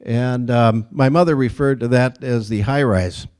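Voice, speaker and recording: A man in his sixties from Minnesota, giving a folksy, self-deprecating speech at a club lunch, with many pauses and fillers. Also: mid-range voice, darkish tone, smooth. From Minnesota, USA. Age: 60s. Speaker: Rotary district governor, guest speaker.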